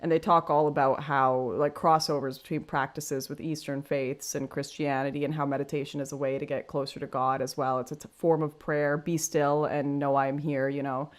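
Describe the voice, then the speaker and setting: Deep-voiced woman chatting on a livestream. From Canada. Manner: chatty, frank, self-deprecating, thinks aloud with restarts. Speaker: livestream host. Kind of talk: casual livestream chat reading super chats.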